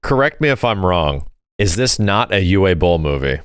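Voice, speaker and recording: Smooth-voiced man chatting on a podcast. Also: low-pitched, sing-song, moderate pace. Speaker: podcast co-host. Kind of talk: casual chat, reminiscing and commenting.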